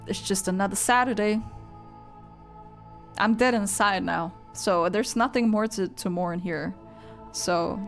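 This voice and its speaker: A young woman streaming her reaction to a game story, chatting casually and swinging between squeals and flat rambling asides. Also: mid-range voice. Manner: excitable, gasps and squeals, then drops to flat rambling. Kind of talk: casual live reaction commentary. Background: game music.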